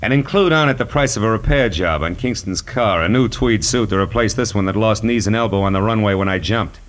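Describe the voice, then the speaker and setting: A man's voice: fairly low pitch, a little fast, close to an everyday voice. A man playing a wry, tough private detective, acting and narrating in 1940s radio noir style. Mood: sardonic. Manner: sardonic, hard-boiled. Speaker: lead actor in radio detective drama. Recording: vintage 1940s radio broadcast recording.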